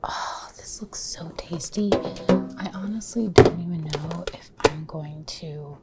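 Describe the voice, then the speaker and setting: Young woman whispering slowly for ASMR. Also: low-pitched, loud music behind the voice. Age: young adult. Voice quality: breathy, mostly unvoiced whisper. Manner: repeats short words and phrases. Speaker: ASMR performer. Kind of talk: ASMR roleplay with personal attention. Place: home kitchen, indoors. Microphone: close to the mic.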